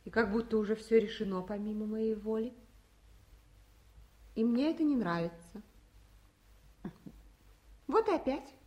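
An actress playing a romantic, impulsive young daughter, earnest and lyrical, in 1950s Soviet radio play style. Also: female voice, on the high side, expressive, unhurried, long pauses, dark tone. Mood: wary, subdued. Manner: girlish, lyrical, theatrical.

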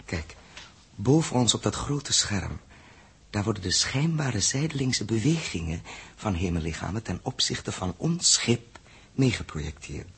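Husky, tense male spaceship pilot. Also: lowish voice, big pitch swings, unhurried, fairly bright tone, heavily acted. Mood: subdued, explaining. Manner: urgent, technical explaining. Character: tense, self-blaming male spaceship pilot, explaining patiently. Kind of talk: 1960s radio drama acting.

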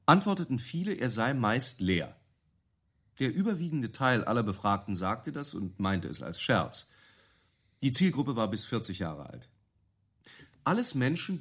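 A self-assured business consultant in a radio play. His voice is slightly rough and moves widely in pitch. He lays out his argument deliberately, with pointed rhetorical turns. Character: confident male business consultant pitching a bold idea, measured. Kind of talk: radio play dialogue, deliberate persuasive presentation.

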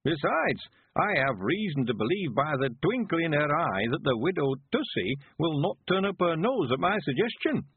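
A man's voice: fairly high pitch, big pitch swings, moderate pace, slightly rough, dry recording, narrow, tinny audio, pitched above the performer's own voice, heavily acted. A man voicing a cheerful, sprightly rustic in his nineties with a rural West Country accent, speaking emphatic, folksy dialect for an audiobook. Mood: spirited. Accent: rural English West Country. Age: 90s. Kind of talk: audiobook character voice.

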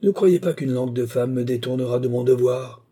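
A frenzied male fanatic , as a storyteller puts him on, preaching doom in a raised, straining voice. The tone stays dark and husky even as it climbs high above the teller's own register. He rants with wild, exalted conviction. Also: brisk, close to an everyday voice. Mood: ranting sermon.

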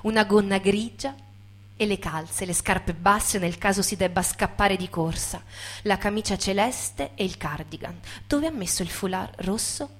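A woman's voice: mid-range voice, unhurried. A woman performing as a storyteller, moving between theatrical narration and informal, reflective talk to an audience.

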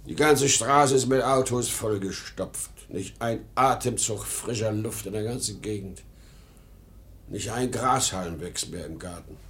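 A man's voice: fairly low pitch, unhurried, a bit bright. A man playing an irritable, nostalgic, weary ageing salesman and father in a 1950s German radio drama, swinging between indignant outbursts and brooding murmurs. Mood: irritable, complaining.

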